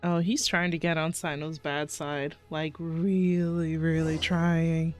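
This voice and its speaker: Unhurried woman streamer reacting to a game. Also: low-pitched, little pitch movement. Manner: giggly, exclamatory, swears casually. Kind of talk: casual live game reaction commentary. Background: soft game music.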